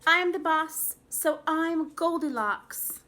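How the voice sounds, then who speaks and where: A woman voicing a bossy little girl dinosaur who gives loud, insistent orders. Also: very high-pitched, takes it slowly, pitched above the performer's own voice, full character voice.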